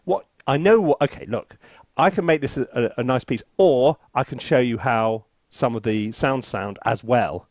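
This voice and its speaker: A man's voice: mid-range voice, normal speed, few pauses, a little mellow, dry recording. A man giving a casual think-aloud music tutorial in a playful, self-deprecating, chatty way. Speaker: composer presenting a music software tutorial and review. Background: orchestral sample music.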